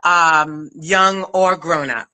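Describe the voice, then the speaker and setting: A woman's voice: low voice, fairly even intonation, drawn-out words, sharp tone. A woman from Brooklyn conducting a casual, conversational interview as a director and acting coach. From Brooklyn, USA.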